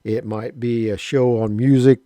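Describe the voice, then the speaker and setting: A man in his fifties with a mellow, relaxed voice, telling an old story in a rambling, folksy way full of restarts. The sound is close and dry, with no room around it. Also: medium pitch, unhurried, dry recording. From Southern Illinois, USA. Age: 50s. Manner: rambling, folksy, with mild cursing and frequent restarts. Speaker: radio hobbyist vlogger. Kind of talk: casual spoken reminiscence.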